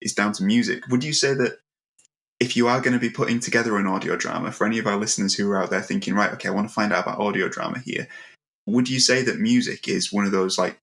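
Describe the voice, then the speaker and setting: Low, creaky-voiced male interviewer. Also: fairly even intonation, a bit bright. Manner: halting, repeats words while forming long questions. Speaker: interviewer, host. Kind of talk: casual interview chat.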